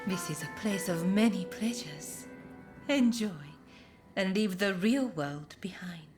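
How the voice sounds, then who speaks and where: An actress playing a gracious, alluring geisha in a video game, speaking politely and formally. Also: female voice, medium pitch, slow pace, darkish tone, soft background music, natural voice. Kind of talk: video game cutscene acting.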